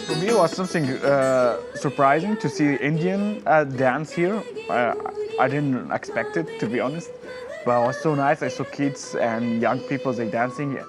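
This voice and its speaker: A man with a mid-range voice recalls playing with locals, his sentences simple and a little broken. Music plays clearly behind him. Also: mid-range voice, moderate pace. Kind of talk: festival interview.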